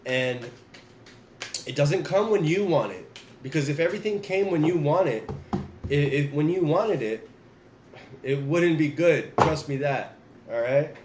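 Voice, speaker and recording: A man's voice: on the high side, a little slow, long pauses, noisy background. Man reading tarot, echoey room. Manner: relaxed, repeats and restarts, addresses viewers directly. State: getting over the flu, nasal. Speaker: tarot reader. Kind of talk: casual spoken tarot reading.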